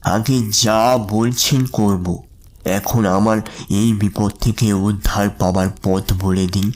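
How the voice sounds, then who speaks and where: Slow, low-voiced humble businessman. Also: fairly even intonation, a little mellow, slight background noise. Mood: ashamed, pleading. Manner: slow, deferential, halting delivery. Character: troubled middle-aged businessman, humble, deferential, superstitious. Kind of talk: dramatised audio story acting. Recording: music under the voice.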